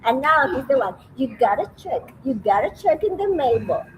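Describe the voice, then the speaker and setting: A woman's voice: sits high, big pitch swings, normal speed, poor audio. A woman from Cuba, a native Spanish speaker with a Cuban accent, chatting casually as a livestream guest. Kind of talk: casual chat. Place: restaurant. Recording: faint restaurant background noise.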